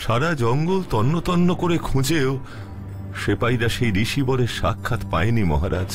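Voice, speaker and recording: An actor playing a deferential royal minister in a cartoon, formal, respectful and theatrical. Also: male voice, medium pitch, normal speed, close to an everyday voice. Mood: grave. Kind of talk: cartoon voice acting. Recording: music behind the voice.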